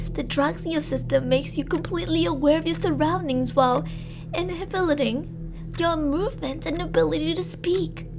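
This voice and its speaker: A woman playing an unhinged, gloating cannibal killer in a scripted ASMR roleplay, with a mock-sweet, theatrical, taunting and drawn-out delivery. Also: very high voice. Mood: menacing, gloating.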